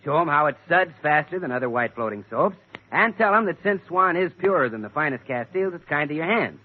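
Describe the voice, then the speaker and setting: A man's voice: on the high side, expressive, brisk. An American man announcing on a 1940s radio show, with an eager salesman's patter. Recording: tinny, narrow-band vintage radio recording.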